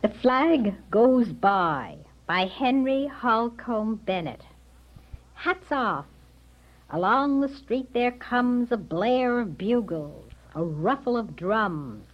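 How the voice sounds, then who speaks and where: Woman poetry reader, highish animated voice, declamatory, slightly muffled recording. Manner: declamatory, deliberate. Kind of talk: dramatic poetry recitation.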